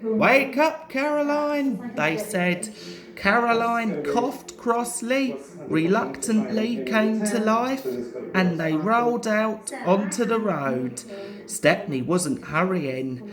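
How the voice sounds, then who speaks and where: A woman reading a children's story as an expressive narrator. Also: mid-range voice, slow, crowd noise. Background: music.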